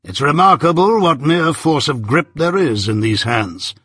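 A man voicing a domineering older gentleman lawyer in an audiobook, speaking slowly and emphatically with deliberate command. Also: medium pitch, animated, husky, no echo, studio quality, full character voice. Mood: coolly appraising. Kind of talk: audiobook character voice.

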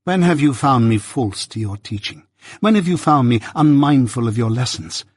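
A man's voice: mid-range voice, lively intonation, breathy edge, no echo. A man as a cold, proud young woman. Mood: proud, insistent. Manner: composed, measured, unyielding. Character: proud, cold, self-possessed young adopted daughter.

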